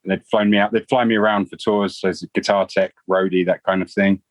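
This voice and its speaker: A man's voice: low-pitched, brisk, no echo. A man in his forties with a London accent, a guitarist chatting casually in an interview with lots of fillers. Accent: London English. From England. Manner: relaxed, rambling, many fillers.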